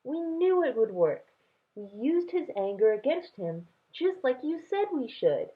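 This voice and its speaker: An eager, triumphant young child from a storybook played by a woman reader, bursting with excitement. The voice sits very high and bounces widely, on a thin, phone-like recording. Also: measured pace. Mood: eager, proud. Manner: exuberant, eager. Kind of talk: children's storybook read-aloud. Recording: tinny, phone-like narrow sound.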